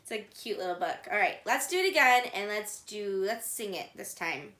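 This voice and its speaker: A woman reading a picture book aloud for young children as a storytime host, with simple, deliberate, singsong phrasing. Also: medium pitch, animated, sharp tone. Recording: echoey room.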